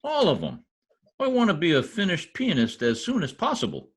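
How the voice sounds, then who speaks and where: An actor playing a cocky, bumbling husband with folksy slang, in old-time radio comedy style. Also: male voice, high voice, huge pitch swings, moderate pace, slight background noise, heavily acted. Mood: determined bluster. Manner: folksy slangy speech, blustering. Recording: husky-sounding video call recording with music.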